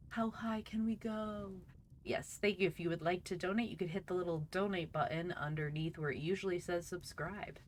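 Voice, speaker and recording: A woman's voice: on the low side, steady tone, moderate pace, a little hiss or hum. A woman hosting a casual livestream, chatting to viewers in a bubbly, enthusiastic manner. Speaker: livestream host, VTuber; teacher.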